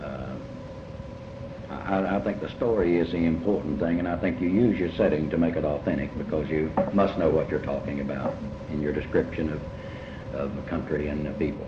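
Low-voiced Kentucky man, halting and deliberate. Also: steady tone. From Kentucky, United States. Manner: halting, deliberate, folksy, often repeating phrases. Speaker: novelist being interviewed. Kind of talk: reflective interview answers. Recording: muffled, noisy, echoey old tape.

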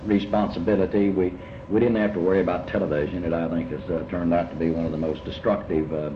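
An older man speaking reflectively in an interview about his life, halting and often repeating words as he rephrases. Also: low voice, fairly even intonation. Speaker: interviewee recalling early life. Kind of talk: reflective oral history interview. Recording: muffled, noisy, echoey room recording.

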